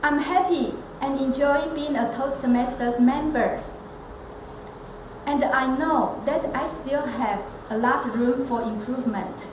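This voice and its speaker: A woman speaks high and unhurried, setting out each phrase deliberately. Her earnest prepared speech carries some self-corrections. It was recorded in an echoey room with steady noise behind her. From Taiwan. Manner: measured, earnest, with occasional restarts. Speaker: elementary school teacher and club member.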